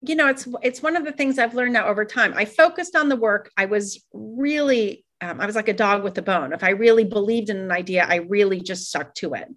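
A woman's voice: medium pitch, a little fast, few pauses, fairly bright tone. A woman from Iran being interviewed, speaking reflectively in long flowing thoughts with frequent fillers. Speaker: guest. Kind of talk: conversational interview.

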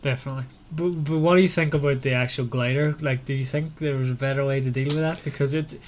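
A man's voice: medium pitch, steady tone, fast talker, darkish tone. A man hosting a casual book discussion in a conversational, questioning way. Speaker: podcast host.

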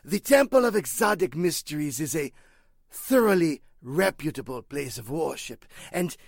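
A man playing a pompous, evasive spiritualist leader in a 1940s-style radio drama, speaking formally and haltingly. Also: fairly high pitch, very animated, vocal fry, dry recording, studio quality, full character voice.

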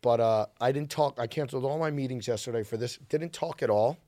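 A man hosting a podcast in casual chat, his voice a little raspy and hoarse, telling stories with energy. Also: medium pitch, a little fast, warm tone, dry recording. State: slightly hoarse. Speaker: podcast host. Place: studio.

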